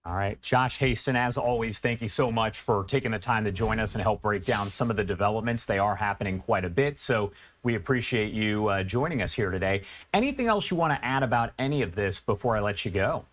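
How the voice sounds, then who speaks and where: Lower-pitched male news anchor. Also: brisk, a bit bright. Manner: polite, orderly questioning. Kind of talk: live broadcast news interviewing.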